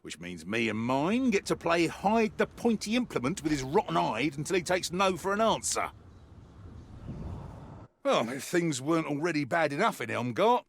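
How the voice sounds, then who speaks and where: Sardonic veteran soldier, fairly high male voice, lively swooping pitch, grumbling game briefing. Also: no room sound. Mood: grumbling, wry. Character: gruff, wry, world-weary male mercenary soldier. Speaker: video game character voice actor. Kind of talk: scripted in-character mission briefing monologue.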